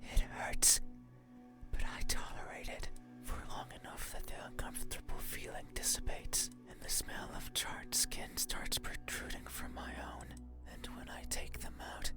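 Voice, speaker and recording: A man playing a whispering, lonely shadow demon. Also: close to an everyday voice. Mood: morbid, wistful. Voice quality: whispered, breathy. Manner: slow, brooding, polite, pleading menace. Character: creepy, lonely shadow demon lurking in doorways. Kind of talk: horror game monologue, ASMR. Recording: whisper over loud music.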